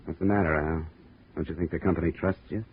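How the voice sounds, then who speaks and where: Low-voiced wry radio detective. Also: male voice, steady tone, fast talker, slightly rough. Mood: wry, casual. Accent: American. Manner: dry, wisecracking. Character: wry, hard-boiled male insurance investigator undercover as sailor. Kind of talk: 1950s radio detective acting and first-person narration. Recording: dull old radio recording with music.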